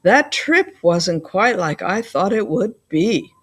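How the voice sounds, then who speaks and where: A woman's voice: medium pitch, very animated, slow, husky, full character voice. A woman voicing a cheerful, enthusiastic man who loves camping, in a children's picture-book reading. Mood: wry. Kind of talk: children's picture-book character read-aloud.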